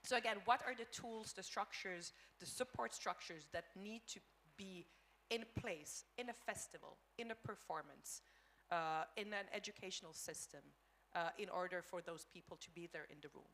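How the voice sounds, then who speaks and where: A woman's voice: lowish voice, normal speed, a bit bright. Woman moderating an arts panel. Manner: thoughtful, searching with fillers and repeated lists. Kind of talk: panel discussion.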